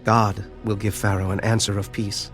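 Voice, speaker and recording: A man playing an earnest, wise young Hebrew interpreter of dreams, speaking in a measured, solemn way for an audio Bible drama. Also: on the low side, flat delivery, a bit bright, faint music behind, close to an everyday voice. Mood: solemn, assured.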